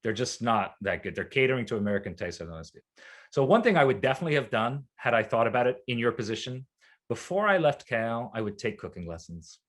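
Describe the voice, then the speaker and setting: A man's voice: mid-range voice, sing-song, a little fast, a bit bright. A man who grew up abroad, talking casually to students over a video call, rambling and correcting himself with frequent fillers. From grew up in Malaysia and Libya. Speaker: alumnus guest speaker.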